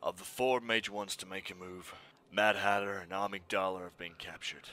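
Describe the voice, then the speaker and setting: A man's voice: low-pitched, fairly even intonation, moderate pace, bright, forceful tone. A man playing a grim, weary masked vigilante in a superhero fan dub, terse and brooding, with a whispery, strained voice.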